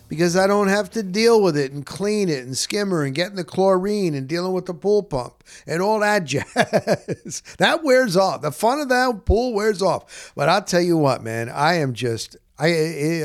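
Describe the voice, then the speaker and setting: A man with a slightly high-pitched voice speaks as a podcast host. His delivery is conversational and rambling, and he leans on repeated phrases for emphasis. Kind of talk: casual conversational podcast introduction.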